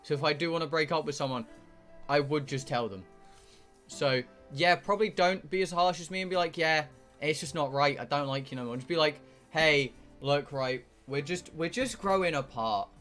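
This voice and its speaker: A British man with a slightly high, bright and forceful voice chats casually to his stream viewers. He is blunt and jokey and swears freely, with music playing behind him. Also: moderate pace. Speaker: live streamer playing a visual novel. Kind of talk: casual rambling chat with viewers.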